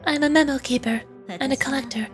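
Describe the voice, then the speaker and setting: Woman acting mysterious elegant female collector, high pitch, measured and formal, video game dialogue, music underneath. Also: close to an everyday voice. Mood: poised, alluring. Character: enigmatic, elegant woman, memory keeper and collector. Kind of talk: live read-aloud of video game dialogue.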